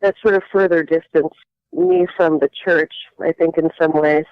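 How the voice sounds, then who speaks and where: Midwest woman, middle-aged, rambly and thoughtful, smooth voice, kinda muffled lo-fi audio. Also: low voice, very animated, moderate pace, dry recording. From Lincoln, Nebraska, US Midwest. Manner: reflective, rambling with restarts and wry laughs. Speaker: interview guest. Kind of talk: candid personal storytelling. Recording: dull lo-fi audio.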